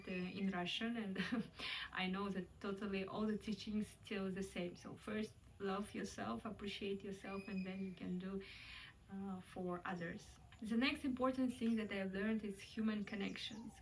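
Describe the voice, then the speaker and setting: A woman's voice: medium pitch, slight background noise. Young kazakh woman, kazakh accent, thoughtful casual vlog talk, lots of uh and um, slightly far off mic. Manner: hesitant, many fillers, light laughs. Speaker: vlogger.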